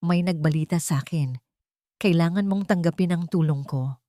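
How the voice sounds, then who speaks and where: A woman narrator voices an earnest, protective man in a romantic drama story. The delivery is direct and insistent, in a lowish voice with a very dry sound. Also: moderate pace, warm tone, dry recording, close to an everyday voice. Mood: concerned. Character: earnest, protective adult man, a repentant father.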